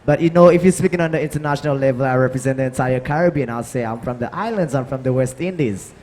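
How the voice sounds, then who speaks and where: A man's voice: medium pitch, fast talker, darkish tone. A man with a Saint Lucian accent, a soca artist talking casually on a panel. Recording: live event, faint background noise.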